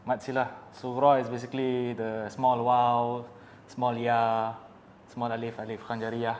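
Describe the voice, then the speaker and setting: A man's voice: mid-range voice, completely flat delivery, moderate pace. A man in his twenties with a Malaysian accent, casually explaining recitation rules as a quiz contestant. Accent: Malaysian English. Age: 20s. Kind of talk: casual game chat. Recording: echoey room with background noise.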